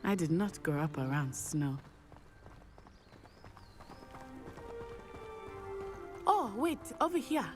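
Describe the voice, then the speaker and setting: A friendly teenage schoolgirl witch in a fantasy game. She speaks, choosing words precisely and formally. Music plays clearly behind her. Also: fairly low pitch, huge pitch swings. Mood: chatty, curious. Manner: measured, precise diction without contractions. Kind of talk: video game cutscene acting.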